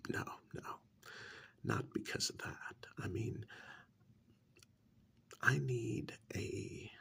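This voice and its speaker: A man playing a calm, menacing killer in a soft-spoken ASMR horror role-play, hushed and deliberate, with a condescending, musing manner. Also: on the low side, exaggerated voice. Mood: matter-of-fact, dismissive. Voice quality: breathy half-whisper with gravelly creak. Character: calm, menacing male killer who preserves victims as art.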